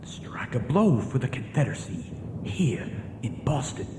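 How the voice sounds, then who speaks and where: An actor playing an earnest, devoted Confederate prisoner plotting escape in a radio drama. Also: male voice, mid-range voice, big pitch swings, measured pace, whispered. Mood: hushed, urgent. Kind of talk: radio theatre acting. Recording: echoey hall reverb, faint noise.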